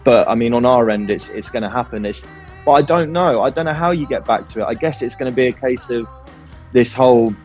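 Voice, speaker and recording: A man's voice: mid-range voice, fairly quick, darkish tone, soft background music. A man chatting informally and candidly in an interview as a band musician, in a rambling way. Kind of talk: casual interview chat.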